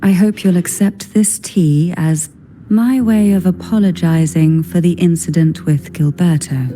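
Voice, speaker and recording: A woman's voice: fairly low pitch, mellow. A woman voicing an elegant, enigmatic noblewoman in a video game, speaking in a formal, poised and languid manner. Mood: composed, courteous. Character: elegant, enigmatic noblewoman, head of a powerful family. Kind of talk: video game story dialogue.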